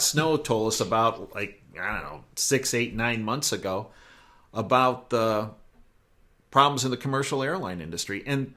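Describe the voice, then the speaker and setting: Low-voiced American man hosting an interview. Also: fairly bright tone. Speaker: interview host. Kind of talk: conversational current-affairs interview.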